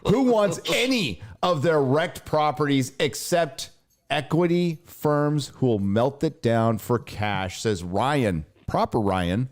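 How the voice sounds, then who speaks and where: A man's voice: mid-range voice, lively intonation, slow pace. A man hosting a casual livestream, joking around, swearing freely and reading out viewer messages. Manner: loose, jokey, swears casually. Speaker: livestream host reading super chats.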